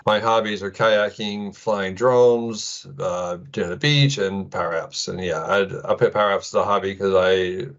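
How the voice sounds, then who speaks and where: Low-voiced, halting middle-aged American man. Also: fairly even intonation, fairly bright tone, dull audio. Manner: halting, hesitant with many ums, casual. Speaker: presenter, IT administrator. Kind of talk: informal tech demo presentation.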